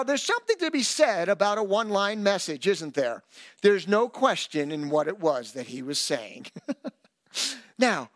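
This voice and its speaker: A middle-aged man preaching a sermon as pastor, emphatic and animated, with self-deprecating humor. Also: high-pitched, dry recording, studio quality.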